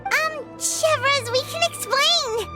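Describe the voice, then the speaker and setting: Tiny cheerful game fairy, very high squeaky voice, swooping and bubbly, music behind. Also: female voice, slow pace. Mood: eager. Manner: speaks of self in third person, bubbly. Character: small childlike female fairy companion, eager and cheerful. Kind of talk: video game voice acting.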